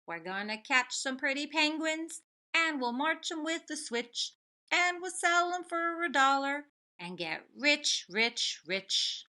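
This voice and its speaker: A woman voicing rough, greedy penguin hunters from a children's picture book, menacing, with chanting and growling. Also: high voice, expressive, takes it slowly, bright, forceful tone, pitched above the performer's own voice, heavily acted.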